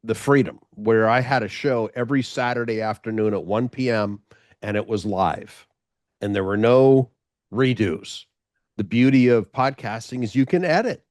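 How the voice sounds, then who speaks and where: A man's voice: medium pitch, normal speed, darkish tone, no room sound, clean studio sound. A middle-aged man from Los Angeles, a former radio host, chatting over a video call in a rambling way, often restarting his sentences. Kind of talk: casual interview chat.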